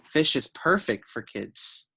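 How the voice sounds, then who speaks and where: A man's voice: mid-range voice, big pitch swings, slow, creaky, sharp tone, breathy edge, full character voice. A man reading a picture book, voicing a caring, cheerful mother in a reassuring, instructive manner. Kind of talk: picture-book read-aloud character voice.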